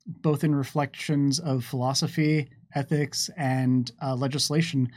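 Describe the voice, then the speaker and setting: A man talking casually and without a script as a game developer on a Q&A stream, wry and wordy, with deliberate phrasing. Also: fairly high pitch, a little mellow. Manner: wry, joking asides. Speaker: game developer answering audience questions on a live stream. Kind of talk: casual unscripted Q&A talk, reading out questions.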